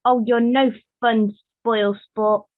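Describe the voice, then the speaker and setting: Puppet show role: gleeful gossip by a female performer, high, playful, tinny phone-like line. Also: level tone, takes it slowly. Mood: teasing, gleeful. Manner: teasing, playful, conspiratorial. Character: teasing, gleeful gossip puppet, relaxed about time. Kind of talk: online puppet show acting. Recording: thin, phone-like video call.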